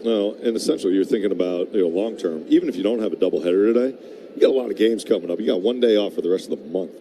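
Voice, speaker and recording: A man's voice: deep voice, racing, mellow, slight background noise. A man giving conversational color commentary and analysis during a live baseball broadcast.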